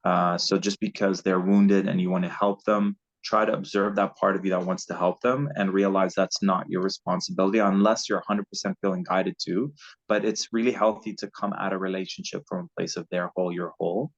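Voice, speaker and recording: Deep-voiced man, dull lo-fi call audio. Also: little pitch movement, brisk. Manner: earnest, loose and rambling, laughs at own slips. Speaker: guest relationship coach. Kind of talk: casual spiritual advice talk.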